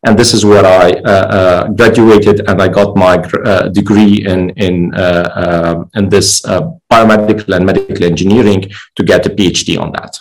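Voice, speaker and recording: A man from Lebanon answering interview questions about his career, speaking haltingly with many fillers and restarts. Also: low-pitched, fairly even intonation, rough recording. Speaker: regulatory consultant, guest.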